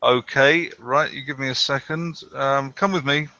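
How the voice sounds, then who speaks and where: A man's voice: medium pitch, fairly even intonation, moderate pace, bright, forceful tone, no room sound, highs cut off, pitched above the performer's own voice. Man doing an officious port governor. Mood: brisk, officious. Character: brisk colonial port governor, middle-aged man, officious.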